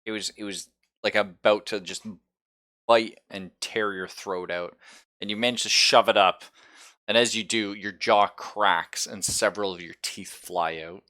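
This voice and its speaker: A man's voice: fairly low pitch, steady tone, measured pace, bright, forceful tone, dry recording, studio quality. A man acting as game master, casually explaining rules and narrating the action of a tabletop role-playing game. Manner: explains rules conversationally, describes gory action.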